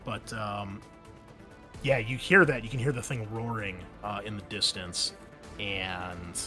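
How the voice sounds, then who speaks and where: A man narrating a tabletop roleplaying game as game master, improvising in a halting, conversational way. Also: fairly low pitch, fairly even intonation, bright, forceful tone. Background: background music.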